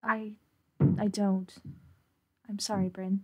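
A woman's voice: mid-range voice, level tone, takes it slowly, slightly rough. A woman playing a tabletop roleplaying game, tentatively narrating and speaking for her character in a casual way.

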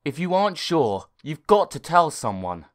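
A man's voice: a little high, sing-song, moderate pace, pitched above the performer's own voice. A man voicing a bossy talking computer that barks out warnings and orders. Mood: stern.

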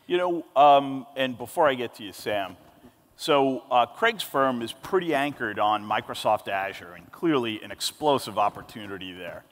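A man's voice: mid-range voice, lively intonation, moderate pace. A man in his forties moderating a conference panel on a large stage, emphatic and upbeat, speaking into a handheld mic. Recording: long hall reverb.

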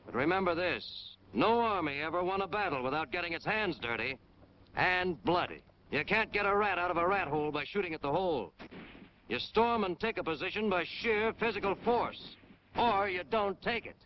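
High-pitched American man, old noisy film narration. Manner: hard-boiled, punchy, emphatic delivery. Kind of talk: 1940s military training film narration. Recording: dull, noisy 1940s film soundtrack.

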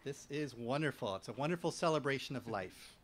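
A middle-aged man, the pastor, leading prayers in a measured, gentle way into a stand mic at an outdoor church service. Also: medium pitch, animated, a little hiss or hum. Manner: measured, gentle liturgical delivery. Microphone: stand mic at a lectern.

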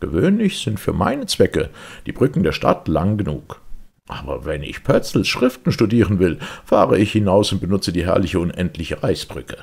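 A man playing an ironic humorist orator, delivering a theatrical, mock-earnest formal speech with dramatic emphasis in a husky, gravelly voice. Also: low-pitched, very animated, moderate pace, heavily acted. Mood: ironic, emphatic. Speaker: reader. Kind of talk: theatrical literary speech reading.

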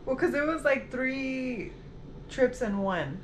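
A woman in her thirties, a vlog co-host, chiming in softly and giggling beside her partner on a couch at home. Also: high-pitched, expressive, slow, slight background noise, low-quality recording. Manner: soft-spoken, giggly, chiming in. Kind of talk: casual chat. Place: living room at home, on a couch. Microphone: camera across the room.

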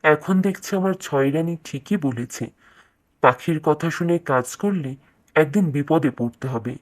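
A woman's voice: low-pitched, animated, normal speed. A woman voicing a proud male king in a children's cartoon fairytale, speaking in a regal, declamatory way. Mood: annoyed.

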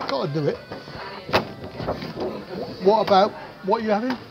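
A man's voice: sits high, moderate pace, dull audio, over music. A middle-aged man with a Southern English accent, vlogging chattily and wryly as he reads a restaurant menu. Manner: chatty, wry, exclaims at prices. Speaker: travel vlogger. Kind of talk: casual vlog chat. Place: small dark restaurant, indoors. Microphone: camera across the table.

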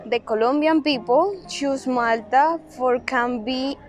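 A woman's voice: sits high, takes it slowly, soft background music. A woman giving casual interview answers in short, hesitant phrases. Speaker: interviewee, language student.

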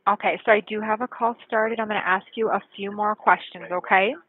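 A woman's voice: mid-range voice, fairly even intonation, a little fast. A woman working as an emergency call taker, asking procedural questions over a telephone line. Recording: tinny narrowband emergency phone line.

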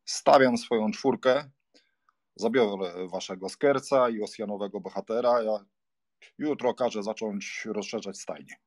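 A man's voice: lowish voice, darkish tone, lightly acted. A man playing a sardonic aristocratic clubman in a dramatized audiobook, offhand and drawling. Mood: smug. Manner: slow, offhand muttering out of the side of the mouth.

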